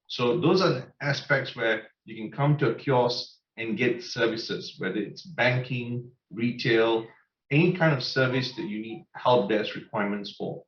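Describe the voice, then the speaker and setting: A man answering as a webinar panelist, explaining with confidence and often tagging his points with "right?". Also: medium pitch. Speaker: panelist from a video-call firm. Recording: roomy, distant, dull call audio.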